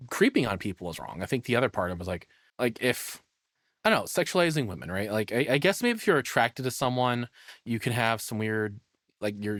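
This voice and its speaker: A man's voice: fairly low pitch, fairly bright tone, no room sound. Blunt, sweary man reacting, very dry sound. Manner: blunt, profane, opinionated; rambling, thinking aloud. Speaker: streamer reacting to a video. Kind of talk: casual live reaction commentary.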